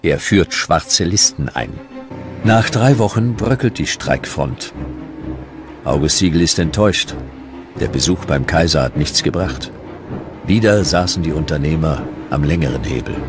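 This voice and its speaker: A man with a very low voice narrates a historical documentary. His delivery is scripted narration. Music plays clearly behind him. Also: expressive, measured pace.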